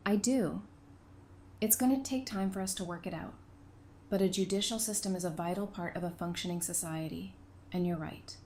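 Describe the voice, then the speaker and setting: A woman playing a candid, caring, level-headed survivor in an audio drama, speaking in a measured, frank way. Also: lowish voice, dark tone, lightly acted. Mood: frank, serious. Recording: faint background noise and ambience.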